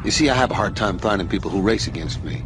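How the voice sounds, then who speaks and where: A man's voice: lowish voice, fast, natural voice, rough recording. An actor playing a cocky, swaggering male space racer who taunts with a showy manner, in a game cutscene. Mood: taunting. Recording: game cutscene with loud music.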